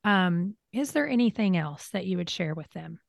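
A woman's voice: fairly low pitch, animated, moderate pace, gravelly, dry recording, clean studio sound. A woman hosting a conversational interview, talking in an open, affirming way. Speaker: host.

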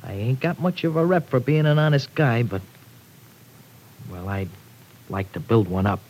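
An actor playing a young, wayward streetwise man with rough, slangy, humble talk in an old radio drama. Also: normal speed, darkish tone, natural voice. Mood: awkward, pleading. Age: young adult. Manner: slangy, ungrammatical tough-kid talk. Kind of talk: 1940s radio drama acting. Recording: noisy old radio recording with rumble.

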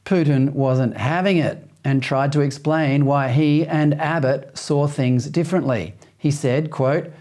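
A man's voice: a little high, darkish tone. A man with an Australian accent hosting a talk show, chatting with a guest and then reading news commentary. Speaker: host.

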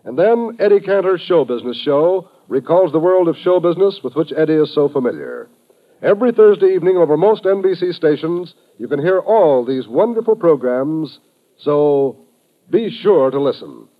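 A man's voice: on the high side, animated, normal speed. An American man announcing in a scripted, polished, declamatory 1950s radio style. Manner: polished, declamatory promotional delivery. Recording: muffled vintage radio broadcast.

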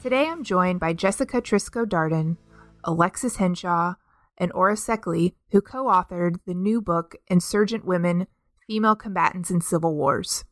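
A woman hosts a book interview podcast, reading introductions and asking questions in a clear, composed voice. The sound is dry and close. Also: fairly low pitch, normal speed, no echo, soft background music. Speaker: podcast host. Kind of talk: scripted interview hosting.

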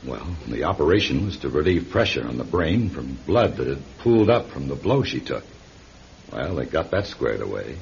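Radio drama doctor, calm and kindly firm, expressive delivery with a creaky edge. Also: male voice, rumbling low, exaggerated voice. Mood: gentle, careful. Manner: measured, reassuring, firm. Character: calm, reassuring male doctor, gently authoritative. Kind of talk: classic radio drama acting. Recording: dull, noisy old radio recording.

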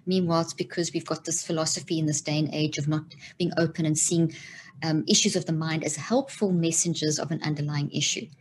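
A woman's voice: low-pitched, steady tone, fairly quick, slight background noise. A middle-aged woman from Zimbabwe and South Africa, a neuroscientist explaining her ideas with emphatic enthusiasm in an interview. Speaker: guest neuroscientist.

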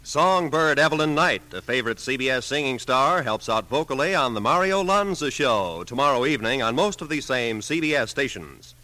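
A man's voice: medium pitch, lively intonation, moderate pace, few pauses, pushed and bright, soft background music. An American man giving formal, polished scripted announcements as an old-time network radio announcer.

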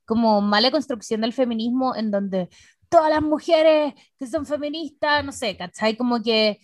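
A young woman with a Chilean accent, co-hosting a casual chat with quick, slangy reactions. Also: a little high, no echo. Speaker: podcast co-host.

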